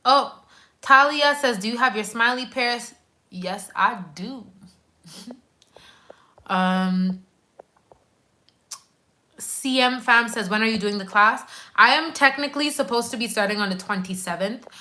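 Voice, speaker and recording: A woman's voice: fairly high pitch, measured pace, long pauses, sharp tone. A woman in her twenties, a nurse hosting a casual live stream, chatting animatedly and talking straight to her viewers while reading out their comments. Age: 20s. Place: at home on a couch.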